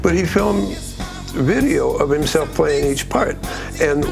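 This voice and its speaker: A man with a gruff voice hosting a casual music breakdown, rambling with restarts and plenty of enthusiasm. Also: fairly high pitch, animated, slow pace. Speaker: music channel host.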